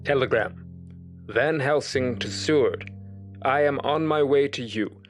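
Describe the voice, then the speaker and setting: Actor as formal professor dictating a telegram. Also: male voice, mid-range voice, measured pace, faint music behind, close to an everyday voice. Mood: businesslike.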